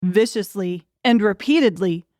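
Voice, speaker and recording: A woman's voice: mid-range voice, unhurried, darkish tone, no echo, clean studio sound. A woman reading scripted, dramatic audiobook narration.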